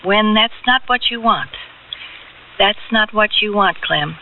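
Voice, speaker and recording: A woman's voice: mid-range voice, measured pace, narrow, tinny audio, a little hiss or hum, close to an everyday voice. An actress playing a naive, hesitant young woman in a radio drama, demure and plain-spoken. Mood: troubled. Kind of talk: radio drama acting with first-person narration. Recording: thin band-limited radio recording, loud music.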